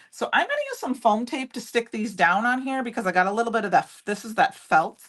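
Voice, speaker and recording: A middle-aged woman with a loud voice, chatting and rambling as she demonstrates a craft project live and answers viewers by name. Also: a little high, big pitch swings, fairly quick. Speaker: crafting livestream host. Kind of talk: casual chat while demonstrating crafts.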